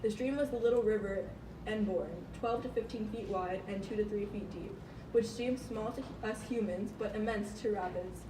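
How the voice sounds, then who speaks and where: Female puppet show narrator, scripted read with small stumbles, far-off mic and clear background noise. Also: medium pitch, normal speed. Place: room, live amateur puppet show. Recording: echoey, noisy room, far from mic.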